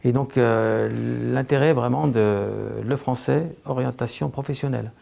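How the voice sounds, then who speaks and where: A man's voice: medium pitch, normal speed, gravelly, noisy background. A man speaking in a documentary interview about refugee trainees, hesitant and restarting his phrases. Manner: hesitant, restarts often, plain speech.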